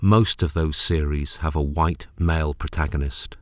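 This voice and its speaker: A man's voice: a bass voice, fairly even intonation, moderate pace, slight background noise. A man narrating a personal documentary script in a wry, rhythmic way. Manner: wry, rhythmic, repetitive phrasing. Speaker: presenter, narrator, actor.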